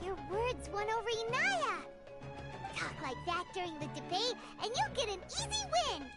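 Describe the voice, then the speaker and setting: A woman voicing a tiny, eager, childlike fairy companion with a squeaky, perky voice in a video game. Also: sing-song, normal speed. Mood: excited cheering. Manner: perky, exclamatory encouragement. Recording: music behind the voice. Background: game music.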